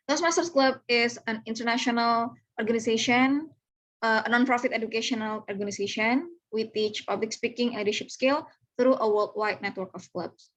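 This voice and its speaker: A woman's voice: fairly high pitch, poor audio. A woman, earnestly walking listeners through slides in an online club presentation over a video call. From Indonesia. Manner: earnest, welcoming, talks through slides step by step.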